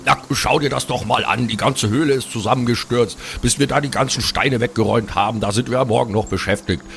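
A man's voice: medium pitch, fairly quick, gravelly, fairly bright tone, slight background noise. A man playing an exasperated, scolding fantasy adventurer in an improvised audio drama.

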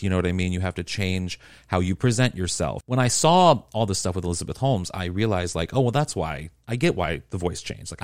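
A man hosting an interview podcast, talking in a relaxed way and affirming his guest often. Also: sits low, dry recording, clean studio sound. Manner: conversational, lots of affirming "yeah"s. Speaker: podcast host. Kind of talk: casual interview chat.

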